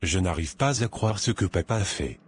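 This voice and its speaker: A man playing a troubled, law-minded adult son in a cartoon, with a grave and deliberate delivery. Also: deep voice, animated, a little hiss or hum. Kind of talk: dubbed cartoon dialogue. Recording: music under the voice.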